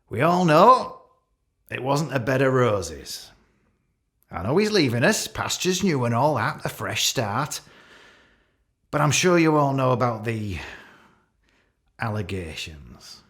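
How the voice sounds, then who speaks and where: A man's voice: mid-range voice, big pitch swings, slow, pauses often, fairly bright tone, exaggerated voice. A man playing an awkward, catty office boss with a British accent, giving a hesitant, rambling, jokey leaving speech in a radio play. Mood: pointed. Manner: hesitant, repetitive, jokey with barbed asides.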